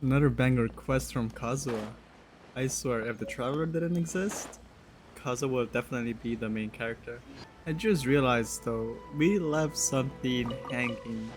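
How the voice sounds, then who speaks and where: A man's voice: medium pitch, normal speed, music in the background. A young adult man, a game streamer giving casual, slangy reaction commentary. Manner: slangy, informal.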